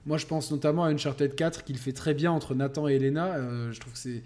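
A man's voice: a little high, fairly even intonation, fairly quick, darkish tone. A man hosting a podcast, musing enthusiastically in casual chat, with many fillers and restarts. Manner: enthusiastic, hesitant with many fillers, thanks donors. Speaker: podcast host.

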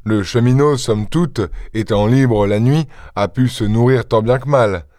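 Man playing a calm, self-assured gentleman detective. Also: mid-range voice, dry recording, clean studio sound, natural voice. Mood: calm, probing. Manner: measured, terse, self-assured.

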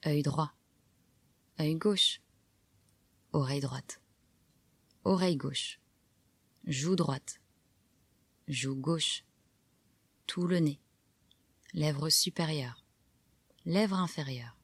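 Slow, low-pitched woman guiding relaxation. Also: breathy edge, dry recording. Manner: calm, soft, measured instructions with pauses. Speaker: yoga nidra guide. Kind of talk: guided relaxation meditation narration.

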